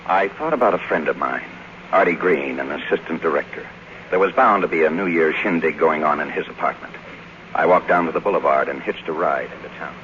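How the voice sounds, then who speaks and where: An actor playing a cynical, struggling Hollywood writer in a 1950s radio drama, blunt and hard-boiled in manner. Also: male voice, a bass voice, a little fast, fairly bright tone, a little hiss or hum. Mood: wry, matter-of-fact. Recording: dull vintage 1950s radio broadcast.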